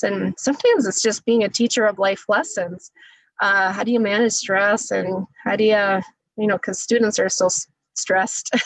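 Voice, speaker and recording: A woman's voice: medium pitch, creaky, dry recording, rough recording. A woman speech therapist presenting a webinar in a conversational way over a video call. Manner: conversational, with fillers.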